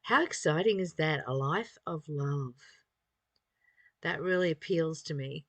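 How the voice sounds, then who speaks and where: Female spiritual reader and teacher, unhurried and reflective, mid pitch, close dry sound. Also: expressive, no room sound. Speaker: spiritual study teacher reading aloud and commenting. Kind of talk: reflective spiritual reading with informal commentary.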